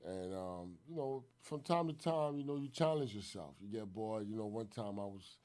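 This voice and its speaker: A man's voice: on the low side, moderate pace, a little mellow. A man in his forties, born in Haiti and raised in Brooklyn, talking casually as a guest in an interview and filling his speech with "you know what I'm saying". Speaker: guest filmmaker.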